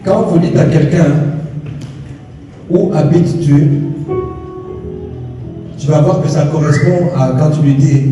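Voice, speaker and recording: A man's voice: on the high side, measured pace, long pauses, over music. Echoey preacher man, native Swahili speaker. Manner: emphatic, repetitive preaching with frequent alleluias. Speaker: pastor. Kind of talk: sermon. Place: church hall. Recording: distant voice with long church hall reverb.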